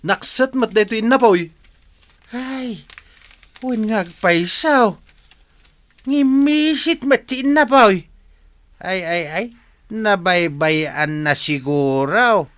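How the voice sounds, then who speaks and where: A man's voice: high-pitched, expressive, slow pace, long pauses, fairly bright tone, exaggerated voice. An actor playing an exasperated, scolding man of a rural household in an Ilocano radio drama, grumbling and exclaiming.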